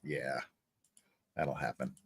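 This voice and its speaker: A man's voice: very deep voice, flat delivery, slow pace, heavy background noise. A man hosting a livestream with casual, joking, teasing banter. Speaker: livestream host.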